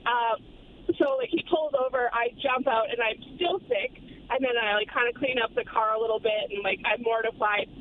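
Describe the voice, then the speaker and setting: A woman's voice: a little high, normal speed, noisy background. Woman caller on a tinny phone line. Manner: sheepish, laughing storyteller. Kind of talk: telling a story on a phone call.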